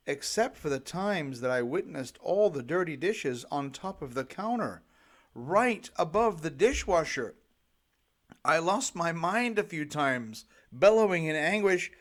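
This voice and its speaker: Middle-aged man narrating self-help, higher-pitched voice. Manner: dramatic, emphatic storytelling with acted-out exclamations. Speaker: author reading own audiobook.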